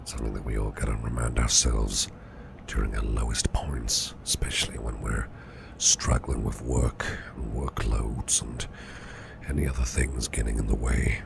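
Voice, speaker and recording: A man playing a caring, protective businessman boss in an ASMR roleplay, speaking in a soothing, reassuring, breathy half-whisper. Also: extremely low pitch, flat delivery, moderate pace, noisy background, full character voice. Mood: tender, reassuring. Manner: soothing, reassuring, affectionate, gently murmured. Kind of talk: soft ASMR roleplay, personal attention.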